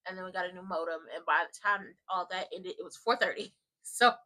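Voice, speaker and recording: A woman's voice: fairly low pitch, fairly quick, pushed and bright. Congested woman chatting to her live viewers. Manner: rambling and chatty, addresses viewers directly. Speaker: live-stream host of a soap watchalong. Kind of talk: casual live-stream chat.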